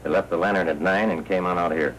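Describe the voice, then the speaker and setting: A man's voice: low-pitched, fairly even intonation, fast talker, a bit bright. An American actor playing a businesslike henchman reporting to his boss in an old crime film. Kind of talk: 1940s film noir acting. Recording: faintly noisy old film soundtrack.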